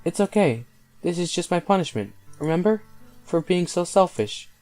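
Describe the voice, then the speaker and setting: A man's voice: sits high, animated, normal speed, a little mellow, soft background music, pitched above the performer's own voice. A man voicing a sweet, tearful, self-blaming teenage schoolgirl in a visual novel, hesitant and pleading. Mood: sad, resigned.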